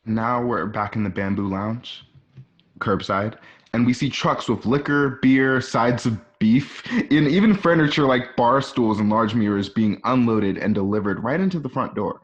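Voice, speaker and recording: A man's voice: mid-range voice, normal speed. A man reading scripted scene descriptions as the narrator of a radio play. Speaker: narrator reading stage directions. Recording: muffled home recording.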